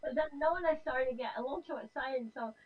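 A woman's voice: high-pitched, fast talker, phone-quality sound. A woman guest chatting casually in an interview, telling a story animatedly with many fillers, from home over a call. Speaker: guest, singer in a group.

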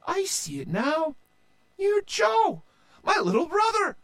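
Guy doing a squeaky high pirate woman captain, bossy and bold, storybook style. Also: big pitch swings, drawn-out words, gravelly, heavily acted. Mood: tender. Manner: shrill falsetto. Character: fierce female pirate captain, bold and commanding.

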